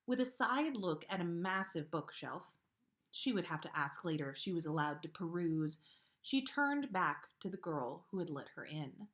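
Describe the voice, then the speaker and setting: A woman reading a story aloud as an expressive narrator, like a podcast host sharing fan fiction. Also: on the low side, normal speed.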